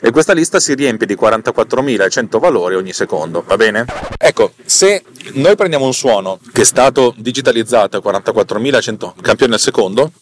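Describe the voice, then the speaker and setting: A man's voice: mid-range voice, animated. A man hosting a podcast, explaining technical things casually and off the cuff, often repeating words and correcting himself. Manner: rambling, halting, self-correcting, repeating words. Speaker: podcast host. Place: inside a car.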